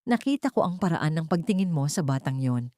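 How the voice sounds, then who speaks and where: A woman narrator plays a mysterious, wealthy gentleman in an audio romance. The delivery is grave, measured and protective, on the low side for a female voice. The sound is dry and close. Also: very animated, no echo. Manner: measured, solemn, formal.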